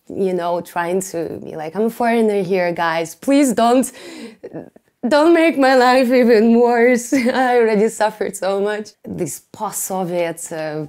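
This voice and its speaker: A Russian-accented woman in her twenties talks frankly about her student life, with a wry edge and small laughs between her thoughts. Her voice sits at a middle pitch. Quiet music plays under her. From Russia, Siberia. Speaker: international student interviewee. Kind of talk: documentary interview.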